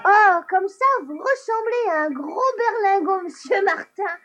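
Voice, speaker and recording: A woman's voice: very high-pitched, animated, measured pace, a bit bright, full character voice. A woman voicing a cheerful, meddling little boy in a French cartoon dub, eager and full of exclamations. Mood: excited. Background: cartoon music score.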